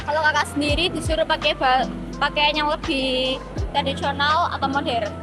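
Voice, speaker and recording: A woman's voice: high voice, fairly even intonation, moderate pace, music in the background. A teenage young woman, doing an informal street interview as a student. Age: teens.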